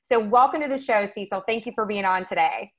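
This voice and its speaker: A woman's voice: medium pitch, a little fast, a bit bright. Upbeat woman hosting a podcast. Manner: upbeat and enthusiastic. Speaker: podcast host. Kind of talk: casual interview hosting.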